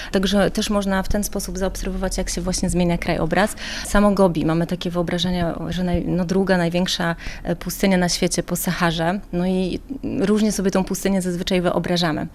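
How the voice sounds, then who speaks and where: A woman's voice: fairly low pitch, darkish tone, a little hiss or hum. A woman talking conversationally as a travel guide in a radio interview, chatty and explanatory in long, rambling sentences.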